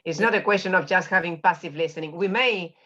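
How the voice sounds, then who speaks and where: A woman panelist in an online discussion, speaking fluently and in a measured way. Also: lowish voice, pushed and bright. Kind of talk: online round table discussion. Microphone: video call. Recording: dull lo-fi call audio.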